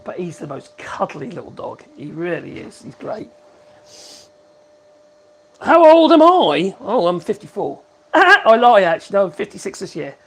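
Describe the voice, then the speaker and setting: A man's voice: sits high, big pitch swings, a little slow, rough recording. A man, hosting a casual woodworking livestream. He rambles in a chatty way and coos to his puppy in a sing-song voice. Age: 50s.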